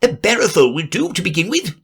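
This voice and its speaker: Bossy rabbit barking brisk orders, pitch all over the place , done by a man reading the story. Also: sing-song, creaky, breathy edge, full character voice.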